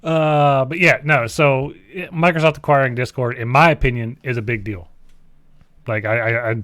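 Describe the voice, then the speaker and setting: Male gaming co-host. Casual, blunt and sweary, repeats for emphasis, medium pitch, close dry sound. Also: steady tone, moderate pace, fairly bright tone, dry recording. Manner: blunt, profane, emphatic repetition. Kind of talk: casual chat about video games.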